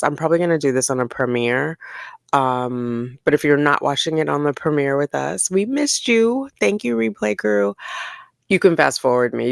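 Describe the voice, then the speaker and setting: Sassy theatrical reader, low creaky voice. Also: dry recording, clean studio sound. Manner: theatrical, sassy, mock-incredulous asides. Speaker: host of a commentary channel. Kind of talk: dramatic reading of jail reports with casual asides.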